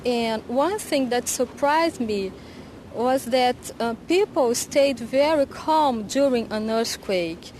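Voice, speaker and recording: A woman answers an interviewer at a slow, measured pace. Her voice is fairly high and a little hesitant. There is faint background noise. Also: lively intonation, darkish tone, low-quality recording.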